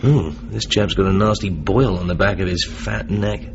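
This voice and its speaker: An actor playing a suave, wry British secret agent with an English accent in a radio drama. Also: male voice, deep voice, moderate pace, creaky, noisy background. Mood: hushed, intrigued. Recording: muffled radio drama with music.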